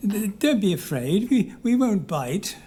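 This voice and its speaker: Hearty English gentleman for radio play, played by a man: jovial bluster, mellow tone, lively swings in pitch. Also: a little slow, breathy edge, exaggerated voice. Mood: genial. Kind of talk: audio drama acting.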